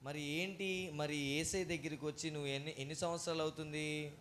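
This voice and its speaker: High-pitched man hosting a puppet show, noisy recording. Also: fairly even intonation, brisk. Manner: questioning, exclaiming, gently admonishing. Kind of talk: live church show dialogue with puppets.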